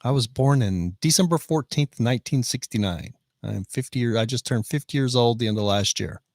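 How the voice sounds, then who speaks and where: A man's voice: mid-range voice, fairly quick, a little mellow, no echo. Chatty man in his fifties, dry sound. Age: 50s. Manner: chatty, reads out viewer comments. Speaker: live stream host. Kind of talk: casual live-stream chat. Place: van in the rain.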